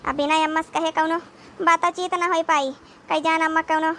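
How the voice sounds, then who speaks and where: A woman's voice: very high-pitched, little pitch movement, fairly quick, sharp tone, a little hiss or hum, exaggerated voice. A woman playing a scolding but protective village sister-in-law in an Awadhi comedy cartoon, exclamatory and rustic. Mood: worried, resigned. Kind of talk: dramatic comedy cartoon dialogue.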